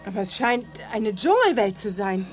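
A woman's voice: medium pitch, sing-song, normal speed, soft background music, lightly acted. An actress playing an alert, matter-of-fact young space crew woman in an audio drama, assertive and explanatory. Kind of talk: 1980s sci-fi audio drama acting.

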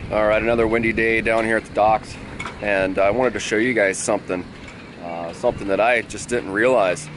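A man's voice: fairly low pitch, fairly quick, background noise. A man in his thirties filming himself on his sailboat, talking casually to the camera with lots of fillers. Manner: relaxed, easygoing, frequent "uh" fillers. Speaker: sailing vlogger. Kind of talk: casual vlog talk to camera. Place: on a sailboat at a marina, cabin and deck. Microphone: handheld camera held at arm's length.

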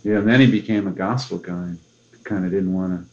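A man's voice: deep voice, steady tone, a little fast, darkish tone. A man with an American accent asks questions as an interviewer, in a casual, curious and attentive way. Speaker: music journalist interviewer. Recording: muffled interview tape.

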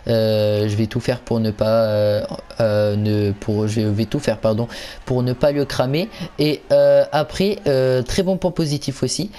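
A man's voice: mid-range voice, steady tone, unhurried, warm tone. A man giving casual commentary on a video game, hesitant and stumbling, often repeating himself. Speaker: gaming channel host.

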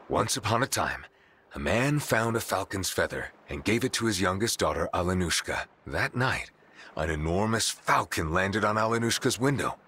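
A man's voice: lowish voice, animated, normal speed, pushed and bright, breathy edge, heavily acted. An actor playing an earnest, romantic young man in a video game cutscene, sincere and tender. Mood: storytelling.

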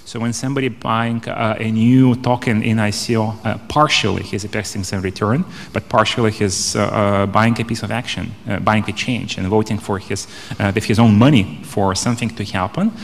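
Guy on a conference panel, lowish voice, stumbles and restarts a lot, big echoey hall. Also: steady tone, brisk, gravelly, few pauses. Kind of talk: panel discussion, off-the-cuff argument. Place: indoor conference stage. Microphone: handheld microphone. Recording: reverberant hall through handheld microphone.

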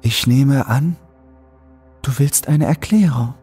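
A man voicing a calm, persuasive, mysterious woman who speaks gently and coaxingly in a horror audio story. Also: mid-range voice, takes it slowly, slightly rough, soft background music, voice pushed up. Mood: concerned, calm.

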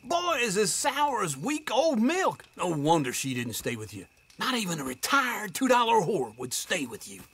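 An actor playing a teasing old outlaw layabout in a Western video game, with a gravelly American drawl and a blunt, folksy, mocking manner. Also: male voice, sits high, wildly swooping pitch, moderate pace, a bit bright, full character voice. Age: older. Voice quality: raspy, gravelly.

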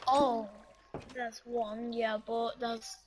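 A woman's voice: fairly high pitch, flat delivery, very slow, a little hiss or hum. A young woman chatting casually with a friend while gaming, laid-back and a little dismissive. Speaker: gamer. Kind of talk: casual gameplay chat with a friend.

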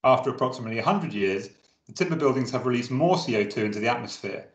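A man giving a scripted, measured narration over a slideshow of drawings. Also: mid-range voice, expressive, muffled recording. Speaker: presenter. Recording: roomy echo.

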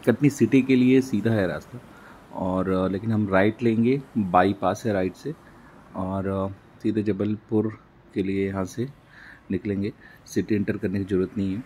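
A man talks through his road trip from the driver's seat, unhurried and pausing often between phrases. His voice is low and mellow. Faint road noise from the moving car hums underneath. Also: fairly even intonation. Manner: unhurried, pauses with fillers. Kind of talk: casual narration while driving.

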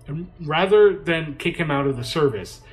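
An actor playing an anxious, deferential adviser to a president in an audio drama, hesitant and cautioning. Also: male voice, fairly high pitch, animated, moderate pace, close to an everyday voice, poor audio. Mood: reluctant.

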